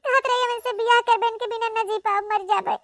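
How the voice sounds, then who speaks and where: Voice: extremely high pitch, fairly even intonation, fairly quick, a bit bright. A performer voicing a wronged, heartbroken village wife and mother in a cartoon melodrama, plaintive and pleading, with an eastern Uttar Pradesh Awadhi accent. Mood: resigned lament. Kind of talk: regional cartoon comedy melodrama.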